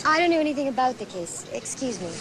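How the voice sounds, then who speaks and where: A woman's voice: high voice, big pitch swings, fast, a crowd in the background, poor audio. An actress playing a guarded, impatient young secretary in an audio drama, curt and evasive in her answers. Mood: brisk, dismissive. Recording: vehicle and outdoor noise behind.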